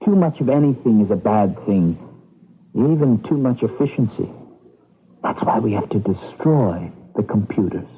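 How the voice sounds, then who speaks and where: A man's voice: on the low side, animated, moderate pace, slightly rough. An actor playing an earnest, trusting young husband and idealistic rebel in a radio drama, anxious and coaxing as he talks. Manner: anxious, coaxing, seeks agreement. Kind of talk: old-time radio drama acting. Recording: dull, band-limited 1980s radio recording.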